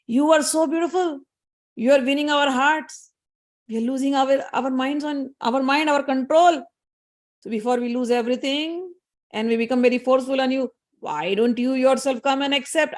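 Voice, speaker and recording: A woman's voice: sits high, pitched above the performer's own voice, lightly acted. A woman voicing boastful, lecherous demon brothers who fawn over a lady in a storytelling session. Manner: fawning, wheedling flattery.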